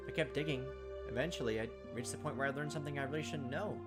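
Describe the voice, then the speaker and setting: A man's voice: medium pitch, quick pace, loud music behind the voice. A man hosting a gaming video, reading visual novel narration aloud dramatically with casual asides.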